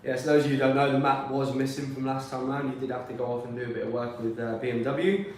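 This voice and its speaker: A man's voice: medium pitch, level tone, fast, far from the mic, a little hiss or hum. A man from Peterborough, originally from South Wales, talking through the track as a racer and guest commentator in a rambling, upbeat way. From born in South Wales, raised in Peterborough. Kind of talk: casual racing commentary. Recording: echoey room, voice off-mic.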